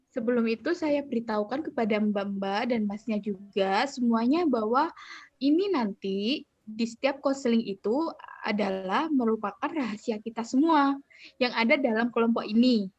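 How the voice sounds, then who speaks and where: A woman playing a gentle group counselor. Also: fairly high pitch, dry recording, close to an everyday voice. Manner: gentle, reassuring, with polite fillers. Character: calm female counselor, 35, leading a group. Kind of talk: student counseling roleplay. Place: video call. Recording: dull, dry video-call audio.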